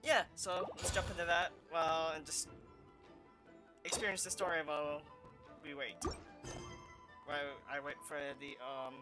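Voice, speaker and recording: A male gaming streamer with a high-pitched voice talks casually through his play. He thinks aloud in a halting way, with clear game music behind him. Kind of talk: casual, unscripted gameplay commentary.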